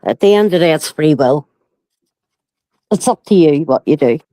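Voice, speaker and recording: A woman's voice: low-pitched, animated, moderate pace, warm tone, no room sound. A woman with a Northern Irish accent chatting casually as a livestream guest, plain-spoken and opinionated. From County Down, Northern Ireland. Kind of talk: casual chat.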